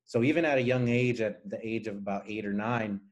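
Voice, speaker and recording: A man from Nicaragua who grew up speaking Spanish talks in a fairly low voice. He is a guest engineer in an interview, explaining things earnestly and conversationally. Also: a little fast.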